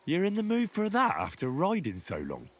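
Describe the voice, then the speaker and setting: An actor playing a reserved young squire with a dry, understated wit in a game cutscene. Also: male voice, fairly high pitch, huge pitch swings, moderate pace. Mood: wry.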